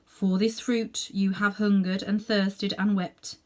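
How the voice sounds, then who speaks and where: A majestic storybook lion, voiced by a woman reader, grave and ceremonious. He speaks in slow, commanding phrases, with solemn authority. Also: medium pitch, level tone, darkish tone, natural voice.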